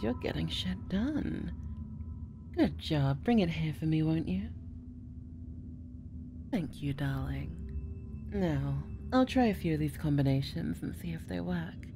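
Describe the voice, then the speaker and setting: A woman's voice: low voice, full character voice. Woman playing a teasing, bluffing girlfriend.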